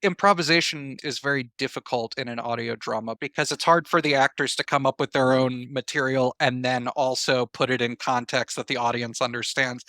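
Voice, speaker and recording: A man's voice: mid-range voice, pushed and bright, no room sound. A man answering interview questions in a conversational, reflective way, explaining his points through examples and comparisons. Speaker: interview guest, writer.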